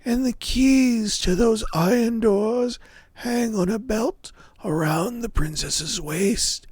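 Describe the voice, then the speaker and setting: Grand old fairy tale merchant dad, real creaky, slow and stately, pitched way up. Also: male voice, darkish tone, dry recording, full character voice. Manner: grand, measured, archaic speech. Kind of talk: storybook character voice.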